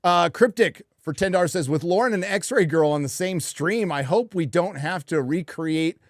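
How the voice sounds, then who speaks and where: A man's voice: high voice, moderate pace, dry recording, studio quality. A middle-aged man hosting a live stream, chatting casually and reading out viewer donations. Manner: laid-back, reads out donations. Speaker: podcast host.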